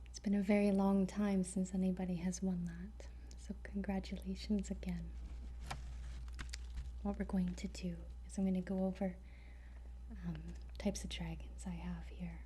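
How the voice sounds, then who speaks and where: A woman whispering an ASMR roleplay as a dragon trainer, gentle and hesitant with frequent pauses. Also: mid-range voice, little pitch movement, a little hiss or hum. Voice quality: breathy whisper.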